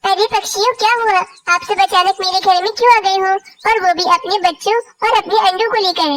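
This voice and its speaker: A small cartoon forest bird for a children's moral tale. The voice is extremely high and childlike, with simple, exaggerated storybook delivery. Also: female voice, steady tone, brisk, fairly bright tone, no room sound. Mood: heated confrontation. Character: cartoon forest birds, scheming, envious and put-upon, squeaky and childlike.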